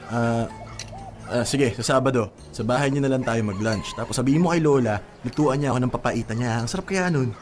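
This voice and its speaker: An actor playing an affectionate, teasing, easygoing young boyfriend in a radio drama. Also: male voice, medium pitch, a little fast, a little mellow, close to an everyday voice. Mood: teasing, fond. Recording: music behind the voice.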